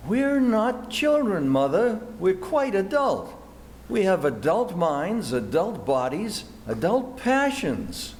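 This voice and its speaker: A man playing an earnest, naive eighteen-year-old in love, halting and boyish, in an old-time radio comedy. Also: sits high, very animated, darkish tone, heavily acted. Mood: defiant. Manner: halting, gosh-golly earnestness. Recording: echoey room with background noise.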